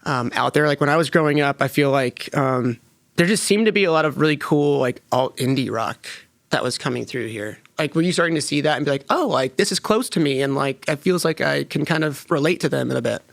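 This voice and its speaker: Chatty man hosting with a gravelly edge. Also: a little high, a little fast, no room sound. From North Carolina. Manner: relaxed, chatty, many "like" fillers. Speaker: podcast host. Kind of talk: casual interview.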